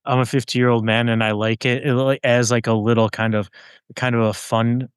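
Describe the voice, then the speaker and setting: A man with a smooth, clear voice co-hosting a casual podcast, recorded dry and close. He laughs a lot and gushes about what he loves, swearing casually. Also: medium pitch, normal speed, no echo. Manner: laughs often, animated and enthusiastic, swears casually. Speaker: podcast co-host. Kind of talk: casual chat about an album.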